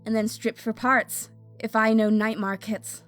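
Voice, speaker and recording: A woman's voice: on the high side, level tone, measured pace, lightly acted. A woman playing a hot-tempered, restless young pyromancer in an audio drama, given to impatient, emphatic outbursts. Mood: wry. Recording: music under the voice. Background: music.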